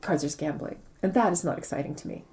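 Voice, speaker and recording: A woman's voice: fairly low pitch, quick pace, warm tone, slight background noise. A woman speaking conversationally as an interviewed author, explaining things reflectively and repeating words for emphasis. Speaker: interviewed novelist.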